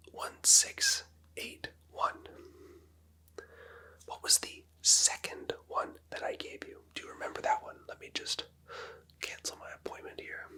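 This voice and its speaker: Softly whispering person, bright and airy. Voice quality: whispered, mostly unvoiced. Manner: gentle, patient clinical instructions. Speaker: ASMR creator playing a doctor. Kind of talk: ASMR medical roleplay.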